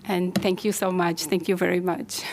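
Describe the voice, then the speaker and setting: A woman's voice: fairly low pitch, flat delivery, very noisy. A woman from Algeria, giving brief, hesitant thanks at a city council meeting. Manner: hesitant, many pauses and restarts. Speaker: community member at public comment. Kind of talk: brief thank-you remarks.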